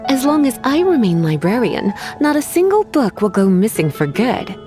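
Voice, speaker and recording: A woman's voice: fairly high pitch, very animated, moderate pace. A woman voicing a teasing, self-assured librarian in a video game, coy and theatrical with a sweetly menacing edge. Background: faint music.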